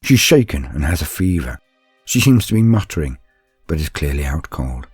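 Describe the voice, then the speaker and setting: A man's voice: very deep voice, sing-song, moderate pace, husky, no echo. A man narrating as a storyteller and host, in a scripted, theatrical style with courtly phrasing. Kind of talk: dramatic first-person story narration and scripted channel address. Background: background music.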